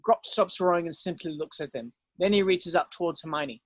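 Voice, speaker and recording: A man's voice: fairly high pitch, a little fast, no echo. A man reading stage directions aloud as the narrator of a script read.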